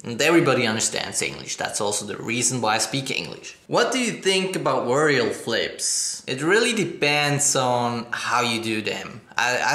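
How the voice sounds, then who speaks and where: Upbeat young man, bright echoey voice. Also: mid-range voice. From Switzerland. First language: German. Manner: upbeat, chatty, reads questions aloud. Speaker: skateboarding vlogger. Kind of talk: casual Q&A vlog. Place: living room, on a sofa, indoors. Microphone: fixed camera across the room. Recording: echoey room.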